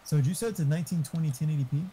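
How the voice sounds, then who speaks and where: Man with a very mellow, dark voice. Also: a little high, rapid-fire, slight background noise, poor audio. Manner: informal, explanatory. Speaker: friend giving streaming tech advice. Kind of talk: casual tech chat.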